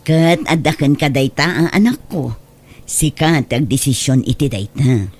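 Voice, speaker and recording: A woman's voice: a bass voice, animated, unhurried, darkish tone, full character voice. A woman playing a proud, devoted middle-aged mother of a grown daughter in a radio drama. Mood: proud, tender. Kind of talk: Ilocano radio drama acting.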